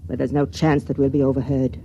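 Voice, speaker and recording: An actress playing an aged, secretive spaceship matron in a vintage radio drama, speaking formally in measured, confiding tones. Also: female voice, rumbling low, little pitch movement, warm tone, muffled recording. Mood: hushed, urgent. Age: older. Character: aged matron of a spaceship colony, guarded, secretive, quietly intense. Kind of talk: old-time radio drama acting. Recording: vintage radio recording with hiss.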